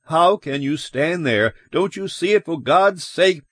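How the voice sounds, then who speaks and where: Casting: male narrator as a hysterical, horrified shopkeeper man, high and urgent, very animated, audiobook drama. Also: a little slow, dry recording, heavily acted. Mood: frantic, screaming. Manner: agitated, exclamatory.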